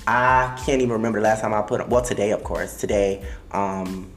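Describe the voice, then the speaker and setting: A man's voice: on the low side, a little fast, roomy sound, faint music behind. A young man from Miami hosting a casual podcast, chatty and gossipy, swearing freely. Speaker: podcast host.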